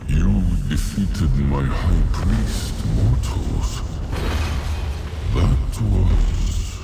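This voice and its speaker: A man playing an ancient, imperious demon prince in a fantasy game, speaking slowly and ominously with menace. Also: lively intonation, background noise, exaggerated voice. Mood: cold menace. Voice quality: deep and gravelly. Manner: slow, commanding, ominous. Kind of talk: scripted fantasy game acting. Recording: loud music and rumbling behind.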